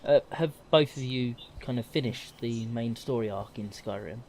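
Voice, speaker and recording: A man in a casual podcast conversation. His voice is mid-pitched, and he speaks as a co-host bantering with the others. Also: normal speed, creaky, a little mellow, a little hiss or hum. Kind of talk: casual, animated group chat.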